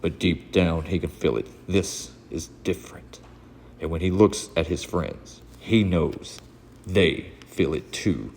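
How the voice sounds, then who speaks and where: Male comic book host and narrator, very low and creaky, reverberant room with faint noise. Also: takes it slowly, darkish tone. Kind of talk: casual vlog talk and dramatic comic read-aloud narration. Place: indoor room. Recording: echoey reverberant room, audible background noise.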